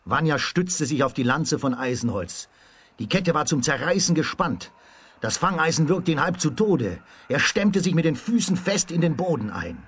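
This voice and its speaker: A man with a husky voice narrating a children's radio fairy tale in a dramatic storytelling manner. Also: fairly high pitch, big pitch swings, moderate pace, a bit bright.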